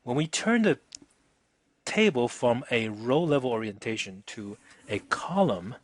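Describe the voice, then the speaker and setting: A man teaching a casual software demonstration, informal and chatty, often checking in with the listener, with a husky voice. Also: medium pitch, sing-song, a little slow, dry recording. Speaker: instructor.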